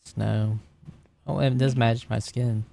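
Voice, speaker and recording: A man's voice: medium pitch, steady tone, slow pace, vocal fry, mellow. A man talking casually as a player at a tabletop game. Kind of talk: casual chat.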